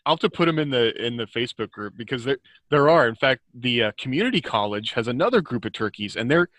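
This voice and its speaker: Bright-voiced American man chatting informally. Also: mid-range voice, lively intonation, fast, dry recording. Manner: informal, chuckles often while talking. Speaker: author, podcast guest. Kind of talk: casual chat, anecdotes.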